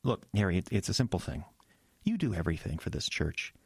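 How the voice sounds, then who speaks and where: An actor playing an earnest, anxious young small-town pastor in an audio drama, halting and self-deprecating. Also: male voice, sits low, big pitch swings, creaky, darkish tone, slightly rough, no echo, studio quality, exaggerated voice. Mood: nervous, awkward.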